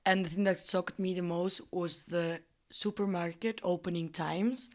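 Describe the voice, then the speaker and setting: A woman's voice: on the low side, fairly even intonation, measured pace. A woman from Spain telling an exchange story in a plain, explanatory way. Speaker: interviewee.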